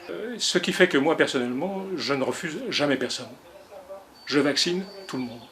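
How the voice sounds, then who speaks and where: A man's voice: on the high side, animated, normal speed, fairly bright tone. A man, a doctor, giving a firm, emphatic interview answer about vaccination.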